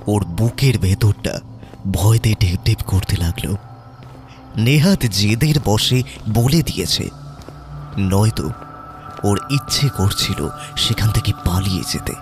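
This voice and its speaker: A man narrating a scripted horror story in a slow, measured way. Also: lowish voice, a little mellow. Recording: narration over background music.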